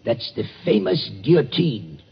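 A man's voice: fairly high pitch, big pitch swings, a little slow, creaky, husky, full character voice. An actor playing a nervous old male night watchman at a waxworks, stammering and hesitant, in a 1940s radio drama. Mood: hushed storytelling. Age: older. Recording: dull old radio recording with music.

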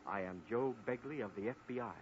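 An actor playing a plain-spoken government agent, calm and matter-of-fact, in a 1940s radio drama. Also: male voice, mid-range voice, fast, natural voice. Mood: firm. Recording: muffled old radio broadcast with faint hiss.

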